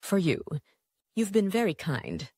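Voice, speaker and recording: A woman voicing a gently flirtatious foreign gentleman. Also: mid-range voice, huge pitch swings, slow pace, slightly rough, dry recording, studio quality, heavily acted. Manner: gentle, confident, courting. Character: charming foreign male hotel guest, softly flirtatious.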